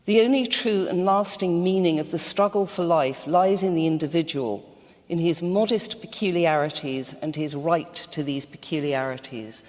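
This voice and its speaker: A woman's voice: deep voice, normal speed, a little mellow. A woman's voice rings through a reverberant hall as she takes part in a literary panel. She speaks as a guest with firm opinions, leaning on her key points. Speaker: panel guest discussing a novel. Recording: echoey hall with long reverb.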